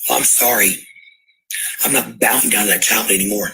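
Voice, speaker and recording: A woman with a sharp, forceful edge to her voice, speaking to stream viewers and arguing at home. She is blunt and confrontational and swears freely. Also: very deep voice, brisk, slight background noise, poor audio. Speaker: livestreamer and parent. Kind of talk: heated argument and ranting to stream viewers.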